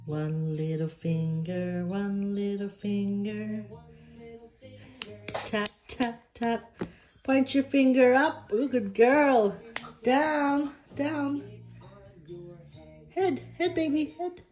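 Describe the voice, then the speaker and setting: A woman slowly coaxing a baby with animal sounds and finger games, indoors at home. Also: a little high, a little mellow, faint music behind. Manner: slow, coaxing, sing-song baby talk. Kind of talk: talking to a baby.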